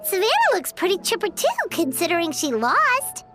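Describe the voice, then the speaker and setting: A woman voicing a tiny, childlike female fairy companion who is excitable and chirpy and talks about herself in the third person, in video game dialogue. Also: very high voice, normal speed. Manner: chirpy, exclamatory. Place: recording studio. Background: game background music.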